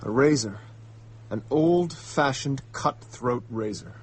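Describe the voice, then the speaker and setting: Uneasy detective's companion, noisy hum. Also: male voice, medium pitch, lively intonation, slow pace. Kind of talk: radio drama acting.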